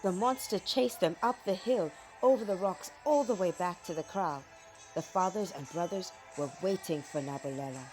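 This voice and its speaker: A woman narrating a children's folk tale from a script in a dramatic, expressive storytelling manner. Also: on the low side, moderate pace, soft background music.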